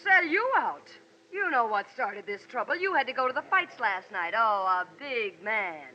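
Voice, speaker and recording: An actress playing a brassy, sarcastic gangster's moll in a 1940s radio crime drama. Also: female voice, on the high side, animated, moderate pace, pushed and bright. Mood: defiant, sarcastic. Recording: muffled, reverberant, noisy old radio.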